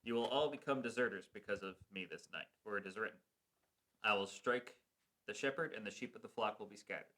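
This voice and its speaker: A man reading the part of Jesus in a church passion play, slow and grave. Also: lowish voice, pushed and bright, rough recording. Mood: solemn. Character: Jesus, calm solemn male teacher.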